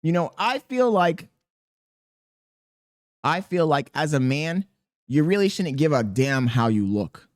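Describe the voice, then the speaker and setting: Squeaky-voiced man ranting casually. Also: slow, pauses often, no echo, studio quality. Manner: animated, profane, rambling with fillers. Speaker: content creator. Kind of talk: casual commentary to camera. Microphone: podcast dynamic mic.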